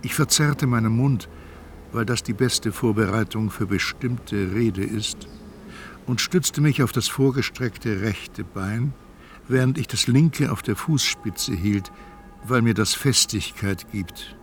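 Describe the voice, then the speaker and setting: A man playing a solemnly resigned, doomed fat man in a literary radio drama, speaking in a deliberate, formal, carefully articulated way. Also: lowish voice, a little hiss or hum. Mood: measured recollection.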